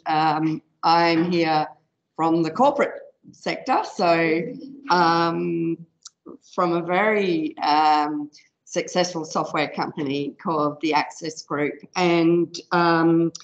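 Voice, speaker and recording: A woman giving a conference talk in an informal, loud-voiced way, with frequent fillers. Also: fairly low pitch, slow pace, highs cut off, a little hiss or hum. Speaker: conference presenter, software product specialist. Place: conference room.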